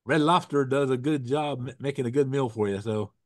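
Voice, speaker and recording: A man's voice: mid-range voice, fairly quick, no echo, clean studio sound. A man hosting a casual video-call talk show, getting over a cold and sounding froggy, rambling with restarts and corny puns. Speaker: host. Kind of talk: casual chat with jokes.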